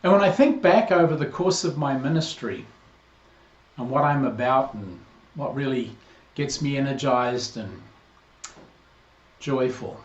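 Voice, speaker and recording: A man in his sixties with a New Zealand accent, a priest giving a measured, earnest greeting to a parish. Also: mid-range voice. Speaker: priest, incoming interim rector. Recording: roomy, slightly dull recording.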